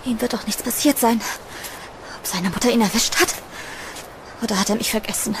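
A woman's voice: a little high, brisk, noisy background, natural voice. A woman playing an anxious young student trapped in a haunted house in a horror audio drama. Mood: anxious waiting.

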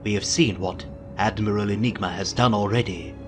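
A man portrays a grave, doubting Jedi councillor in an audio drama. His voice sits low, under it loud music. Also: moderate pace, lightly acted. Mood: worried, sceptical. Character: grave, sceptical male Jedi council elder. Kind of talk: fan audio drama acting.

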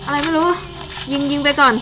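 Young female gaming host, high voice, excitable off-the-cuff reactions mid-play, over noisy game effects. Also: brisk. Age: young adult. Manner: excitable, exclamatory, muttering reactions while playing. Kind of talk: casual gameplay commentary.

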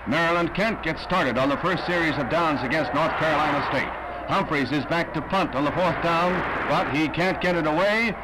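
A man's voice: on the high side, moderate pace, heavy background noise. An American man narrating a 1960s newsreel in an emphatic, scripted announcer style. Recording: vintage 1960s newsreel soundtrack, loud music. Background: loud music.

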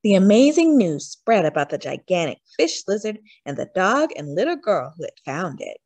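A woman narrates a storybook for young children. Her delivery is animated, with lots of melodic movement and stretched words for emphasis. The sound is dry, with little room around it. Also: medium pitch, moderate pace, no room sound. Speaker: storytime reader. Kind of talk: expressive children's read-aloud narration.

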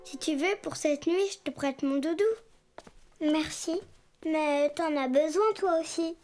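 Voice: sits high, measured pace, a little mellow, soft background music. A performer voicing a sweet, earnest little brown bear cub boy in a preschool cartoon, with childlike speech.

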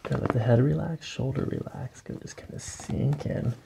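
A man in his thirties speaks as a chiropractor, calmly talking a patient through each step in short, repeated cues. His voice is heavily fried and gravelly. The recording has clear noise and small clicks in an office room. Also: medium pitch, moderate pace. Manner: soothing, repetitive short cues. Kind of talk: quiet hands-on instruction to a patient, ASMR. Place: small carpeted treatment office. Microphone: boom-arm microphone near the treatment table.

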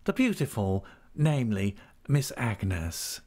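A man's voice: fairly low pitch, very animated, takes it slowly, darkish tone, exaggerated voice. A man voicing a falsely humble, scheming clerk in an audiobook, meek, oily and obsequious. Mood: fawning. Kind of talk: audiobook character acting.

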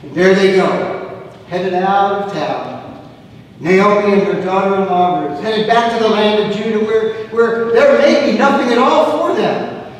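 A man in his sixties delivers a storytelling sermon with a fairly high voice. He gestures as he talks and drops to a hush on the lines that matter. The sound is heard from far off in a reverberant sanctuary with a little room noise. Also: measured pace. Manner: storytelling, gesturing, dropping to a hush on key phrases. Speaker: pastor. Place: church sanctuary, at the pulpit. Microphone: far camera, room mic. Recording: echoey church hall from far off.

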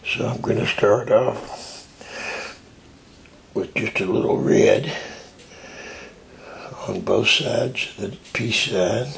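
An older man with a raspy, deeply gravelly voice walks through a craft technique as he does it. He talks in an unhurried way, explaining each step while he works. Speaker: tie-dye hobbyist demonstrating. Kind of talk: slow hands-on craft demonstration.

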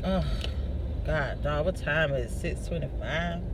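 A woman's voice: sits low, measured pace. A middle-aged woman chatting casually to her viewers from a parked car, recorded on a phone on the dashboard. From southern United States. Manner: chatty, talks straight to viewers. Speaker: vlogger. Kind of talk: casual car vlog chat. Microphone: phone camera on the dashboard. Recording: distant phone mic with loud car noise.

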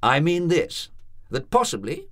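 A man reading aloud and voicing a solemn older country doctor who speaks in a formal, measured way. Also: on the high side, sing-song, fairly bright tone, pitched above the performer's own voice. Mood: earnest. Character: grave, deliberate older male country doctor.

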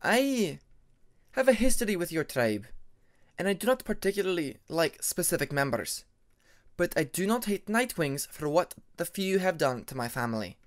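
Guy doing a proper serious lady ice dragon, higher pitched, measured, storybook style. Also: very animated. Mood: stern, sincere. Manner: formal, measured, sincere. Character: earnest, formal female ice dragon classmate.

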